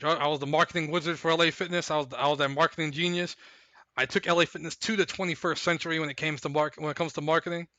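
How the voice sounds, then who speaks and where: A man with a fairly high voice, hoarse and raspy because he is losing his voice. He rambles in a hyped, repetitive way as he hosts a live stream. The sound is dry and close, with no room echo. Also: steady tone, fast, bright, forceful tone. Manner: rambling, hyped, repeats himself. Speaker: stream host. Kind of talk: casual live-stream chat and recap.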